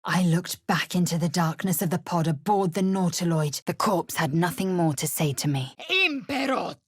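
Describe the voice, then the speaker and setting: An actress playing a fierce, haughty young githyanki warrior woman in a video game, with a husky, raspy voice and a clipped, imperious, disdainful delivery. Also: lowish voice, lively intonation, normal speed, no echo. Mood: fierce incanting. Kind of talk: scripted video game voice lines and narration.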